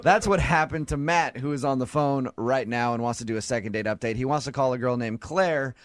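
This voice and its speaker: A man hosting a morning radio show with lively, teasing, joking banter. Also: medium pitch, a little fast, no echo.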